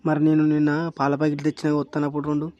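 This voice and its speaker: A man's voice: fairly high pitch, completely flat delivery, rapid-fire, muffled recording, lightly acted. A man playing a bossy, foul-mouthed village father in an amateur Telugu cartoon comedy, scolding in a Telangana accent. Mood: irritated. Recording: clearly noisy track with clicks.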